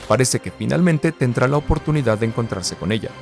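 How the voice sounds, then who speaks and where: Fast-talking male video narrator. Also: mid-range voice, expressive, vocal fry, soft background music. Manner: brisk, explanatory, lightly conspiratorial. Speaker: YouTube pop-culture commentator. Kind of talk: scripted narration.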